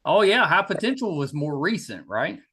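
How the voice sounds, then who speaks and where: A man's voice: on the high side, sing-song, moderate pace, bright, forceful tone, breathy edge. A man hosting a podcast, chatting casually as he interviews a guest. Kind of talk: casual chat.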